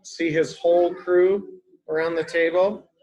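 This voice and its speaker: Slow-paced man reading aloud to children. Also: on the high side, flat delivery, a little mellow, rough recording. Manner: simple, repetitive explaining for young children. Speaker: early education teacher reading to children. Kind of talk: read-aloud picture book with explanations and questions.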